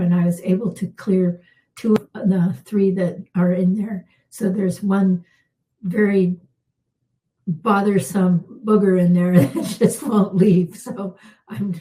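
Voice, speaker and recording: A middle-aged woman, a healer, leading a calm guided spiritual healing with unhurried talk and soft pauses, her voice slightly worn. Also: mid-range voice, rough recording. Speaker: intuitive healer hosting a live session.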